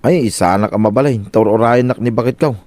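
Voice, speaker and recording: A man acting a neighborly village friend in an Ilocano comedy radio drama. He has a medium-pitched voice and an Ilocano accent, matter-of-fact and admonishing. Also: quick pace, a little mellow, no echo, clean studio sound, lightly acted.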